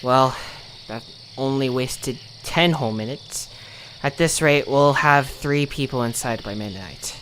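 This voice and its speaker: A man's voice: mid-range voice, a little slow, a bit bright, a little hiss or hum, lightly acted, low-quality recording. A man voicing a snide, sarcastic, grumbling cartoon creature in an audio drama, needling as he talks. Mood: scornful. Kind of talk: audio drama character acting.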